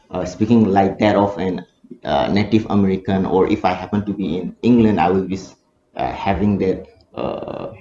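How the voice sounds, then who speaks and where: A man's voice: low-pitched, warm tone, slight background noise. A young man with a Manipuri accent, a research student answering questions in an academic discussion, hesitant, with many fillers and tag questions. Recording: echoey room, dull lo-fi audio.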